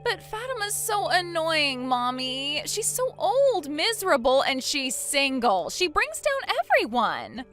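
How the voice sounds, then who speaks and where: A woman acting a whiny, high-pitched spoiled young bride. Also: huge pitch swings, normal speed, a bit bright, faint music behind, exaggerated voice. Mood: whiny, pleading. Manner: whining, pleading, indignant. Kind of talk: dramatized story narration.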